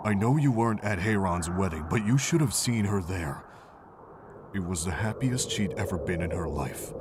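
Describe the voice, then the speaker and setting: A man playing a gentle, regretful middle-aged monk and mentor, speaking in a measured, reflective way in a fan visual novel drama. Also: deep voice, expressive, background noise. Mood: firm, disappointed.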